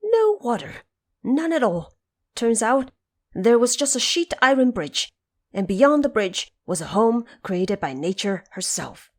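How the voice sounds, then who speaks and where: A woman's voice: fairly high pitch, sing-song, unhurried, voice pushed up, full character voice. A woman voicing a bold, cheerful male monkey leader in an audio drama storybook, exclaiming eagerly and repeating phrases. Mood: excited.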